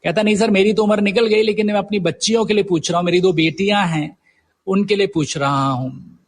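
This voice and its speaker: A man's voice: sits high, steady tone, fairly quick, a little hiss or hum. A middle-aged man from India talking reflectively in an interview, mixing in English words and telling anecdotes conversationally. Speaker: guest poet and professor.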